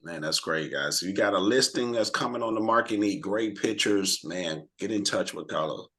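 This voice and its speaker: A slightly hoarse man hosting a team video call, rallying the group with questions in an energetic, motivational way. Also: fairly low pitch, moderate pace. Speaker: team leader hosting a real estate mastermind call.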